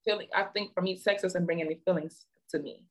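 Frank young woman with a lowish voice. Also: steady tone, fairly quick. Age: 20s. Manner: frank, earnest, slangy. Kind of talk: casual chat.

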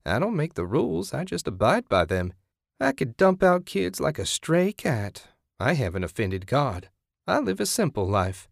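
A man voicing a menacing, sanctimonious, depraved woman in an audiobook, with a raspy, taunting delivery. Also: on the high side, huge pitch swings, dry recording, clean studio sound, pitched above the performer's own voice, exaggerated voice. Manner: taunting, sinister, drawn-out threats. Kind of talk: dramatic audiobook character voice.

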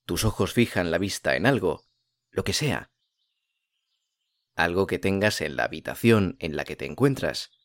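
A man with a low voice leads a guided meditation in a soothing, unhurried manner. He leaves long pauses between phrases. The sound is close and dry, with no room around it. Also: lively intonation, no echo. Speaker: podcast host and meditation guide.

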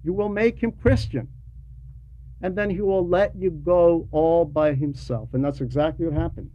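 A man giving a homily to a class in a deliberate, didactic way, pausing between short phrases and repeating words for emphasis, on a dull, lo-fi recording. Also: a little high, mellow. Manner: didactic, poses rhetorical questions. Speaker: religious teacher reading a translated homily to a class. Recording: dull lo-fi recording with faint noise.

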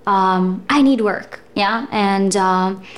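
A woman's voice: mid-range voice, slow pace. A young adult woman, an actress, talking in a casual interview with frequent fillers. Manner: thoughtful, with frequent fillers and laughs. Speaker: actress and guest.

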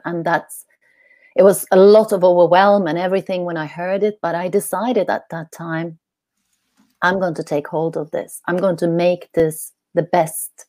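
A woman's voice: fairly low pitch, warm tone, no echo. Earnest middle-aged woman from Sweden. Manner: earnest, searching for words, many "you know"s. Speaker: guest, coach. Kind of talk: telling her life story in an interview.

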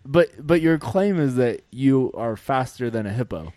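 A man's voice: mid-range voice, animated, moderate pace, mellow, no room sound. A man co-hosting a podcast in casual chat, throwing in dry wisecracks.

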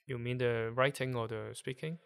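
Smooth-voiced man with a Hong Kong accent. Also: mid-range voice, fairly even intonation, fairly bright tone. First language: Cantonese. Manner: halting, searching for words while explaining. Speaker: guest. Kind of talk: casual conversation.

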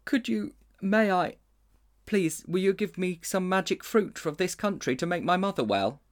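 A man's voice: expressive, moderate pace. A man voicing a guilty, frightened young boy who stammers in a raised voice. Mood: anxious. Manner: anxious, stammering, raised pitch.

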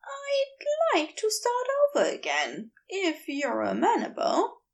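Audio story role: prim, excitable man played by a woman, very high swooping voice, fussy and wordy. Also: slow, gravelly, heavily acted. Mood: shy, polite. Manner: prim, wordy, fretful, exclaiming.

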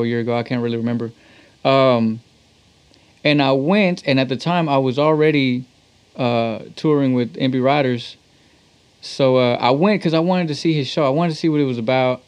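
Man, musician from Texas, casual interview, laid-back, slangy, anecdotal, mid-pitched voice. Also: a little mellow, smooth. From Texas, United States. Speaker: musician, talk box artist being interviewed.

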